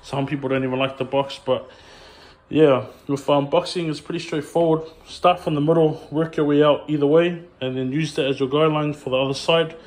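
A young man, a barber, talking through a haircut tutorial in a relaxed, plain way with frequent ums. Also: on the high side, brisk.

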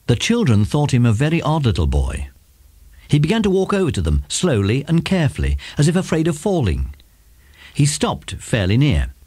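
A man's voice: medium pitch, huge pitch swings, normal speed, darkish tone, breathy edge, no echo. A man narrating a children's story from a script.

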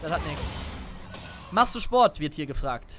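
High-voiced man streaming a game. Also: very animated, measured pace, music in the background. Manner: chatty, asks questions while calling plays. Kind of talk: casual gaming chat. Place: home room at a desk. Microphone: headset.

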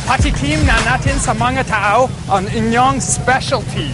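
A man's voice: very high voice, unhurried, loud music behind the voice. A young man, a native English speaker, playing an upbeat cooking showdown host in a sitcom with a projected announcer delivery. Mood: upbeat, announcing. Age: young adult. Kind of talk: loud sitcom show hosting.

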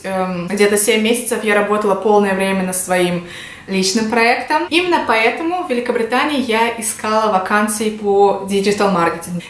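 A woman in her twenties from Russia, talking casually to the camera as she explains things in a vlog. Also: medium pitch. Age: 20s. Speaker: vlogger. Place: small indoor room at home. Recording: echoey home room.

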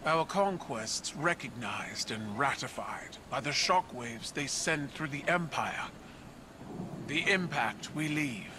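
A man voicing a proud alien warrior ruler in a game, speaking in a slow, measured, solemn way. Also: mid-range voice, animated. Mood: reflective. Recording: game audio with background noise.

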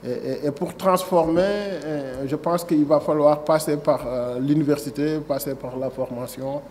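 A man in his forties with a Senegalese French accent delivers a speech into a table microphone in a conference room. His voice sits a little higher than most men's. He shifts from reading prepared text to speaking off the cuff, pausing with fillers and restarting his sentences, over a faint background hiss. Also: a little mellow. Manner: reads prepared text, then digresses with many fillers and restarts. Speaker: agency director giving a speech. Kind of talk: formal speech at a signing ceremony.

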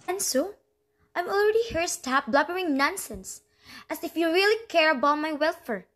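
A woman's voice: extremely high pitch, animated, normal speed, fairly bright tone. A woman playing a troubled, defiant teenage daughter who tells her story in an amateur radio drama. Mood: angry, defiant.

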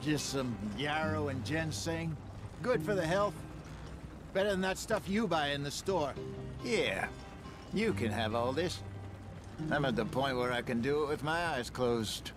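An actor playing an aging, worried American outlaw elder in a video game, folksy, wry and measured. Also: male voice, on the high side, expressive, music in the background. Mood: easy, wry. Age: older.

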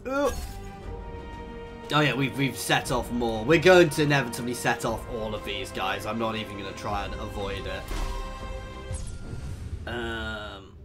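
A man in his thirties commentating a strategy game, husky and tired from a cold, chatty and thinking aloud with lots of ums. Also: fairly low pitch, unhurried, long pauses. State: hoarse with a cold. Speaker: gaming video host. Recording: voice over game music.

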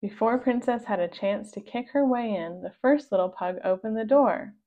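A woman reading a children's storybook aloud in a measured narrating style for young listeners. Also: a little high. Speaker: storybook reader. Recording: dull, lo-fi sound.

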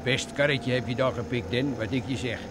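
Vintage radio drama: slangy petty crook played by a man, middle pitch, noisy car recording. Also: a little fast, close to an everyday voice. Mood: gruff, chatty. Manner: colloquial underworld slang. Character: nervy petty car-thief accomplice, slangy adult man. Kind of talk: vintage Dutch radio play acting.

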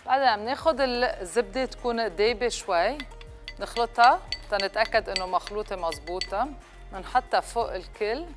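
A woman's voice: mid-range voice, a little fast. A woman in her thirties with a Lebanese accent, presenting a recipe to camera and mixing in some English words. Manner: mixes English words into speech. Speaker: cooking show host. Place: kitchen set, indoors. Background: music.

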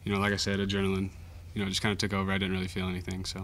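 A young man, a college baseball pitcher, giving modest, understated answers at a post-game press conference. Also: monotone, very fast, a bit bright, a little hiss or hum. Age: young adult. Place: indoor press conference room. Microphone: table microphone.

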